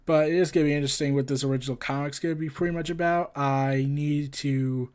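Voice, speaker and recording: A man with a notably high-pitched voice hosts a casual news roundup. He rambles through his commentary in a scattered, halting way, often getting tongue-tied and circling back to find his place. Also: steady tone, a little mellow, clear, resonant tone. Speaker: host of a comics and entertainment news show.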